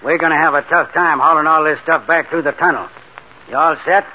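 An actor playing a tough, confident crook who masterminds heists, in 1940s radio style, with slangy gangster talk. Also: male voice, a little high, steady tone, brisk, sharp tone. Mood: brisk, commanding. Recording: muffled, noisy old-time radio recording.

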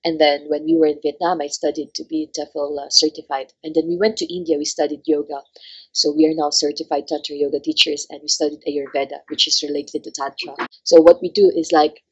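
A woman with a low voice, English not her first language, talks casually as an interview guest. She chats freely, laughs often and leans on fillers as she goes. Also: little pitch movement, brisk, husky, rough recording. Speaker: travel blogger guest.

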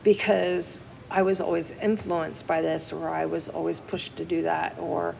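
A woman's voice: fairly low pitch, level tone, measured pace, gravelly, a little mellow, in a large hall, muffled recording, a little hiss or hum. A woman co-hosting a casual talk show, discussing music emphatically and repeating phrases for stress. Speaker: podcast co-host. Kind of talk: casual discussion of song lyrics.

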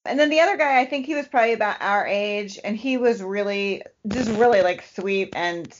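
A woman's voice: medium pitch, brisk. Dull-sounding recording, chatty woman co-hosting. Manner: chatty, uses "like" constantly. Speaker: podcast co-host. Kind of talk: casual conversational storytelling. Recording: dull lo-fi podcast audio.

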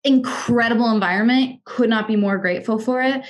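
A woman's voice: a little high, fairly quick. Earnest young woman telling her story. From Kansas City, United States. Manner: earnest, articulate, emphatic, frank. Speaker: interview guest, music artist and executive. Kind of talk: candid conversational storytelling.

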